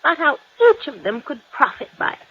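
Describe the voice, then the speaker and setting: A woman's voice: high voice, lively intonation, fast talker. An actress playing a gushing, coy American widow in her sixties in a 1950s-style radio drama. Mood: confiding, intrigued. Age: older. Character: coquettish, excitable wealthy widow in her sixties. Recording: dull old radio recording.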